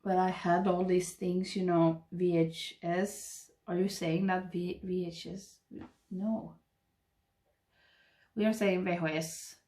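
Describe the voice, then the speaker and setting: Swedish woman in her 30s, slow soft rambling makeup chat at her desk, webcam mic. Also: lowish voice, level tone. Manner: soft, rambling, murmuring while applying makeup. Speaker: beauty vlogger. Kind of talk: casual get-ready-with-me chat. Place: home makeup room, indoors. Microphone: webcam framing at desk.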